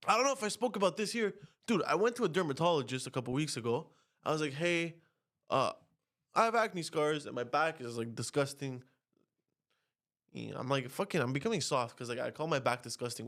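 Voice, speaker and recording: A young man with a stuffy, nasal voice talks straight to camera in a rambling podcast monologue. He swears constantly and his pitch climbs sharply when he gets worked up. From Canada. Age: young adult. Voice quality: nasal, congested. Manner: profane, rants with rising pitch. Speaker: podcast host. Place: home, on camera.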